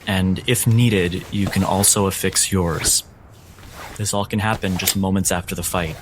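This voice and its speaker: A man narrating a tabletop roleplaying game as game master, with deliberate, dramatic phrasing. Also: deep voice, steady tone, slight background noise.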